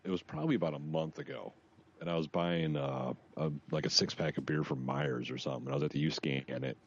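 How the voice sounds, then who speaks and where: A man's voice: a bass voice, expressive, fairly quick, slightly rough, dull audio. A man in his late thirties co-hosting a podcast, telling casual anecdotes in a wry, profane way. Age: late 30s. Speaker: podcast co-host. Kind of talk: casual chat, swearing anecdotes.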